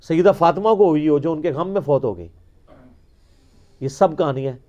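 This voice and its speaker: A man's voice: on the high side, moderate pace, dark tone, dull audio, slight background noise. A man delivering an argumentative religious lecture in a mocking, rhetorical way, quoting narrations as he goes. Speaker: religious teacher answering questions.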